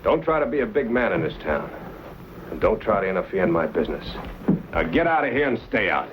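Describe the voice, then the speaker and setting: Swooping, deep, menacing Western town boss. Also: male voice, big pitch swings, brisk. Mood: threatening. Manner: condescending, bullying. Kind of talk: Western drama acting. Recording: very noisy, muffled old soundtrack.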